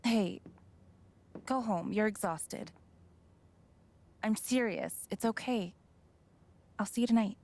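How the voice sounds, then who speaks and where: A woman's voice: medium pitch, slow, pauses often, a little mellow. An actress playing a grieving, bitter young woman, raw and wounded, in a video game. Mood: gentle.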